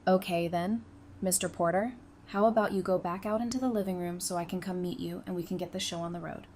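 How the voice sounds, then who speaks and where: A woman's voice: lowish voice, fairly even intonation, a little fast, darkish tone, a little hiss or hum, natural voice. A woman playing a feisty, affectionate, independent bride in an audio drama, speaking in a casual, frank, teasing way. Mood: thoughtful, affectionate.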